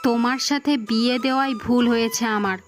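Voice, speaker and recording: A woman's voice: fairly high pitch, steady tone, fairly quick, faint music behind, close to an everyday voice. A woman playing a proud, protective middle-aged mother in a melodramatic cartoon, with an emphatic way of talking that repeats words. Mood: indignant, scolding.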